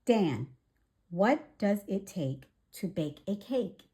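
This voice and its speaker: A woman's voice: mid-range voice, sing-song, takes it slowly, warm tone. A woman voicing an eager, polite young female raccoon in a children's storybook, with earnest, sing-song questions. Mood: eager, friendly.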